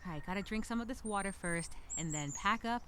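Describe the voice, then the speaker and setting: A woman's voice: mid-range voice, heavy background noise, close to an everyday voice. A woman voicing an anxious, wry castaway speaking her survival journal in a theatrical, conversational audio story. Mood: puzzled, uneasy. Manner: conversational, theatrical, halting, with sighs. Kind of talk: solo dramatic monologue, audio journal storytelling.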